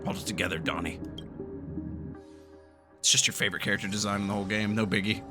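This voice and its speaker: A man's voice: lowish voice, steady tone, fast talker, over music. A man giving casual, reactive, joking commentary as he plays a video game. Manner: reactive, jokey. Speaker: gaming YouTuber. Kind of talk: casual let's-play commentary.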